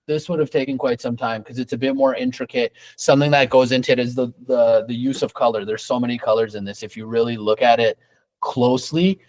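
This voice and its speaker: A young man from Canada talks about his trade in a relaxed, rambling way, stumbling over and repeating his words as he goes. His voice sits at an ordinary pitch and is recorded dry, with no room sound. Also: a little fast, no echo, rough recording. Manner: hesitant, repeats words, restarts. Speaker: rug dealer guest. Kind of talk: casual interview answers. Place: his rug shop, at his desk.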